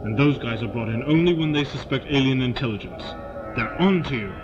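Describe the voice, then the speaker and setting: Guy playing blunt army colonel in an audio drama, regular pitch, casual talk, loud music behind. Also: brisk, highs cut off, natural voice. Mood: wary, grim. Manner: plain-spoken, colloquial. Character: hawkish American military colonel, informal and bitter.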